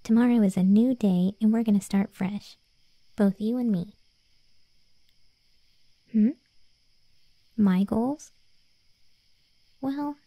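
A woman voicing a smug, conceited undead cat girl in a soft, teasing ASMR roleplay. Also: mid-range voice, gravelly, dry recording. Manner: soft, teasing, self-important. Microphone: close, dry studio-style mic.

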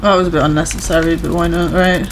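A woman chatting casually while playing a video game, her voice a little hoarse from talking too much. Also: lowish voice, level tone, fast, slight background noise. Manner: chatty, self-reassuring, talks to viewers. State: voice going hoarse from overuse. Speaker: gaming streamer. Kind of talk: casual gameplay commentary.

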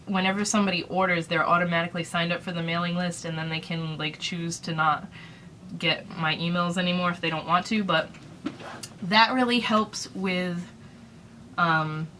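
Chatty woman with a bright tone. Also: lowish voice, a little hiss or hum. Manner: chatty, rambling, lots of fillers. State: dry throat, recovering from illness. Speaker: small business owner vlogger. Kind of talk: casual advice vlog. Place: small room indoors.

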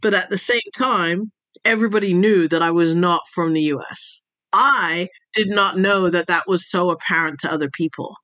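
A woman's voice: fairly low pitch, normal speed, dry recording. A woman with an accent between American and British, telling stories about her past as an interview guest and laughing often over a video call. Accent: mixed American and British. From Jamaica. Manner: laughs often, animated storytelling. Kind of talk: casual conversational storytelling.

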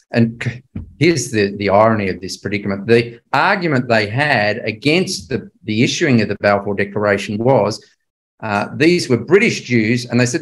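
Older male, mid-pitched voice, Bible class lecturer, emphatic, conversational, rhetorical questions. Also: rough recording. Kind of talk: illustrated history lecture to a religious class.

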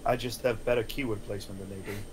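Man playing an eager, quick-talking junior employee. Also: on the low side, fairly even intonation, background noise, close to an everyday voice. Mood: eager, deferential. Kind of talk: screenplay table read.

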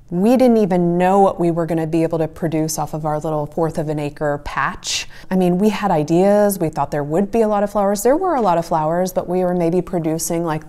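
A woman's voice: lowish voice, dark tone. A woman in her forties giving conversational, explanatory advice to camera as a farmer and host. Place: small wood-panelled room indoors by a stove.

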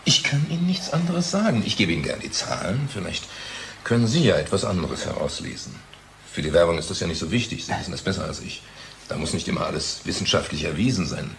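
A man's voice: low-pitched, animated, fast talker, creaky, fairly bright tone, heavily acted. An actor playing a blunt, stubborn male research doctor in a radio play, dry and hesitant in manner. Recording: faint background noise.

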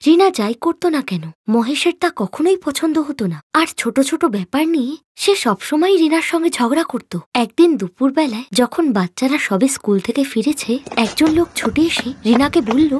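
A woman's voice: high-pitched, expressive, moderate pace, dry recording. A woman narrating a moral story as a storyteller, reading from a script.

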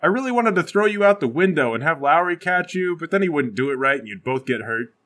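A man's voice: on the high side, expressive, quick pace, a bit bright. A man playing an overeager, self-important cop in a tabletop game, casual and mock-tough. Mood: petulant.

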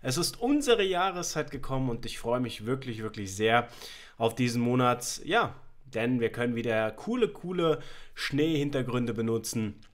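A man's voice: medium pitch, animated, moderate pace. A young man with a mid-range voice speaks casually to his online audience as a host. He sounds slightly under the weather as he chats in a small indoor room. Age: young adult. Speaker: YouTube host, horror story narrator. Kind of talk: casual intro chat to viewers.

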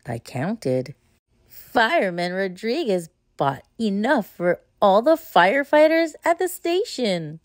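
A woman reading a children's picture book aloud, narrating as the story's child with expressive, deliberate phrasing. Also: medium pitch, dry recording, studio quality. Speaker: storybook reader.

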